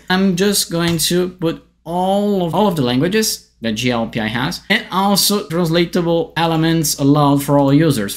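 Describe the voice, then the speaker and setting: Brazilian-accented man explaining software steps. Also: a little high. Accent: Brazilian Portuguese. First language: Portuguese. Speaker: tech writer demonstrating software. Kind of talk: software tutorial. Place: bright indoor room.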